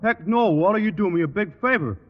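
An actor playing a dim, good-natured young athlete in a 1950s radio sitcom, rambling and ungrammatical. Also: male voice, sits high, expressive. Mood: dopey. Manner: slow-witted, rambling, ungrammatical. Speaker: student athlete. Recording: dull old radio recording, reverberant studio.

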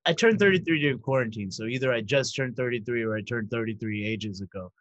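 A man's voice: medium pitch, quick pace, a bit bright, no room sound, dull audio. A man in his thirties in casual chat, rambling and correcting himself as he goes, laughing easily. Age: 30s.

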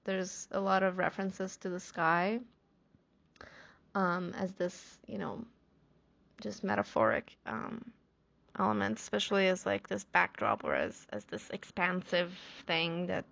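Slow, gravelly-voiced Iranian-born woman. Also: on the low side, a bit bright, no echo, highs cut off. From Iran. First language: Farsi. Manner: thoughtful, searching for words, many restarts. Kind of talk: reflective personal monologue.

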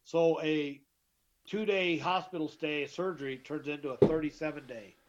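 A middle-aged man with a deeper voice, telling his story as an interview guest, emphatic and often repeating himself. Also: fairly even intonation, normal speed. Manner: emphatic, repeats and restarts words. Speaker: guest, author. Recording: dull lo-fi sound.

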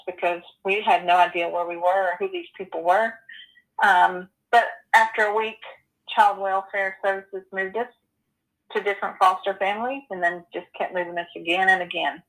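A woman in her forties telling her personal story as an interview guest, earnest and halting, with many ums and you-knows. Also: medium pitch, a bit bright, rough recording. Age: 40s.

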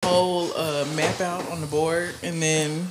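Woman, deep soothing voice, relaxed podcast chat, loud noise behind. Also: unhurried. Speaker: podcast guest. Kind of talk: casual podcast banter.

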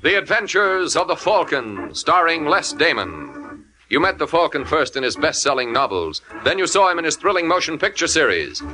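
40s american radio announcer guy, dramatic, very animated pitch, organ playing behind. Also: moderate pace, rough recording. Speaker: announcer and narrator. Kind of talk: dramatic 1940s radio announcing and scripted narration. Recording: vintage radio recording with organ music. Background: organ music.

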